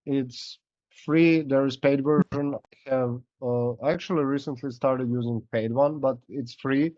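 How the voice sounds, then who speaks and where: A man speaks as an in a casual coaching call, hoarse and running low on voice. His explanations wander with "like" and "uh". The video-call sound is dry and dull. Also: steady tone, unhurried, warm tone, no room sound. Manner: loose, filler-heavy explaining. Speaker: coach giving feedback.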